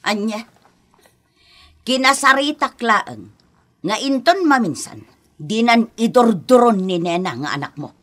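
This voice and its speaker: A radio drama grandmother, stern and fiercely protective of her family. Her voice is fairly high and very sharp, with a scolding, forceful delivery. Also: lively intonation, a little slow, pauses often. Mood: indignant. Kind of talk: Ilocano radio drama acting.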